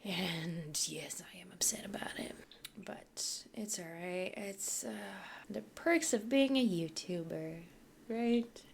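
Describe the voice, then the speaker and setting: A woman's voice: fairly low pitch, takes it slowly, gravelly, slight background noise. A young woman from Poland, chatting casually as a YouTuber while she builds in a game, rambling and thinking aloud.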